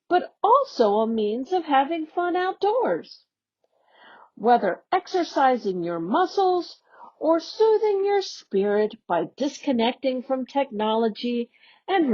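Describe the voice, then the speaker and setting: A woman on an education staff reading a youth newsletter aloud in a slow, expressive storybook manner. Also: high voice. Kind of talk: read-aloud for young learners. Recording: dull lo-fi audio.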